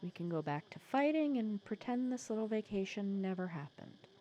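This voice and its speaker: A woman playing a sly, secretly caring female supervillain in an intimate ASMR roleplay, casual and teasing, softly breathy. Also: medium pitch, lively intonation, moderate pace, highs cut off, close to an everyday voice. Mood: earnest, concerned. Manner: casual, teasing, persuasive, sardonic.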